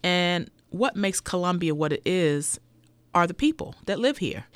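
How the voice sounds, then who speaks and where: A woman's voice: on the low side, expressive, measured pace, smooth, dry recording. Heartfelt woman radio co-host, dry studio. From United States. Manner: heartfelt, reminiscing, soft-spoken. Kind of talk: casual radio chat.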